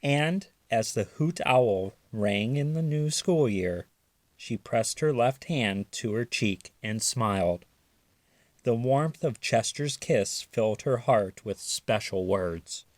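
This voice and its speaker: Expressive, unhurried male storybook reader. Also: mid-range voice, no room sound. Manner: expressive, careful read-aloud delivery. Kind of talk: scripted children's story narration.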